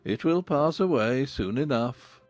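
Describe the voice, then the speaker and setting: Man playing a dry, slow-spoken father. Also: mid-range voice, animated, darkish tone, no echo, faint music behind, lightly acted. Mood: rueful, resigned. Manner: dry, deliberate, sardonic. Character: dry, self-reproaching older English gentleman father. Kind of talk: dramatized audiobook acting.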